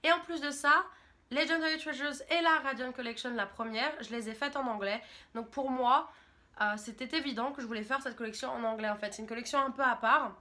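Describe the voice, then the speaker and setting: Bright, chatty young Frenchwoman. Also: sits high, brisk. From France. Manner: chatty, rambling, enthusiastic. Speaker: YouTuber. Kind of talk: casual vlog monologue.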